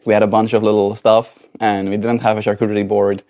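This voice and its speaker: A man with a South African accent and a voice a little lower than most men's hosts a relaxed livestream. He thinks aloud in a meandering, reflective way and keeps tossing in "right?" as he goes. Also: quick pace. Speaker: livestream host. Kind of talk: casual livestream chat.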